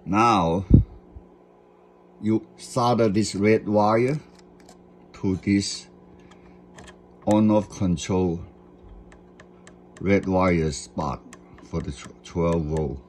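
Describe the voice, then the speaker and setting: A man's voice: low voice, extremely slow, long pauses, a little hiss or hum. A man giving a hands-on, unscripted step-by-step electronics tutorial, repeating short phrases for emphasis. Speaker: instructor demonstrating an electronics installation.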